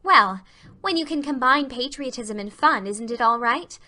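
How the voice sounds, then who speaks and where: A woman's voice: high-pitched, animated, moderate pace, pushed and bright, exaggerated voice. A woman voicing an earnest, romantic, effusive schoolgirl in a dramatized audiobook, with gushing, dramatic delivery. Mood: eager pleading.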